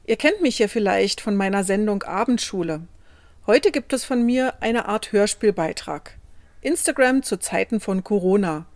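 A woman's voice: medium pitch, darkish tone, no echo. A woman hosting a radio show, giving a scripted introduction recorded at home.

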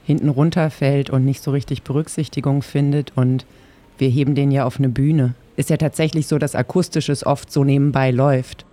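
A man's voice: mid-range voice, little pitch movement, moderate pace, warm tone. A man giving conversational interview answers as an organizer.

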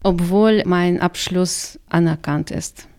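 Middle-aged woman, Russian accent, unhurried, lowish voice with some fry, careful answers. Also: fairly even intonation, a little mellow. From Kazakhstan. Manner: careful, repeats "sehr, sehr" often. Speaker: interviewee, migrant community worker. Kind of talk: radio interview answers.

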